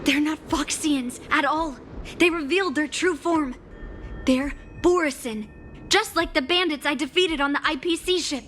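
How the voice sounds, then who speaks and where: A woman's voice: high voice, moderate pace, a bit bright. An actress playing a confident young female warrior in a video game. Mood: assured, serious. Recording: faint music behind the voice.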